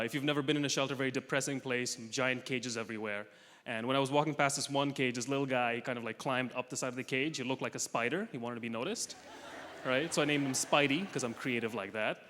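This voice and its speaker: Bright-voiced young man doing stand-up. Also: mid-range voice, slight background noise. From Russia. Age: young adult. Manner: dry, self-mocking punchlines with quick asides. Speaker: stand-up comedian. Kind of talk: stand-up comedy set. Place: large comedy festival hall with audience. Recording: live hall recording with long reverb.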